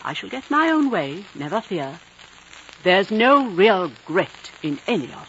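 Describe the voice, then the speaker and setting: An actress playing a prim, stubborn, pious female missionary in a 1950s radio drama, brisk, righteous and self-assured. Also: mid-range voice, very animated, fairly bright tone. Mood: righteous, determined. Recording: dull, noisy old radio recording.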